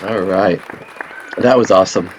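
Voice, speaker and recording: A man's voice: deep voice, moderate pace, highs cut off, crowd noise, background noise. A man hosting a table read over a video call, talking casually and hesitantly with many fillers. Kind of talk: casual chat.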